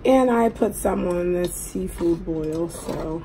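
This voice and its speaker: A middle-aged woman with a hoarse voice, chatting casually to viewers as a mukbang host at a table. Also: lowish voice, takes it slowly. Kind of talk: casual eating vlog chat. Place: indoors at a table at home. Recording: clearly noisy room recording.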